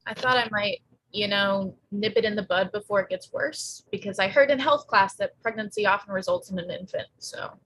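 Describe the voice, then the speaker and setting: Woman acting a husky, sardonic teenage girl. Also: medium pitch, moderate pace, a bit bright, natural voice, poor audio. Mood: awkward, wry. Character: wry, sardonic, quick-witted teenage girl. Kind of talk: live table read acting.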